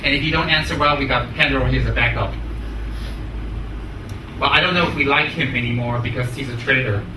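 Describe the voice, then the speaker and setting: American man teaching in an echoey room. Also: mid-range voice. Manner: emphatic, deliberate, short repeated phrases. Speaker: Buddhist teacher. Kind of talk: spoken teaching with questions to students. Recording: echoey room, distant mic, clear noise.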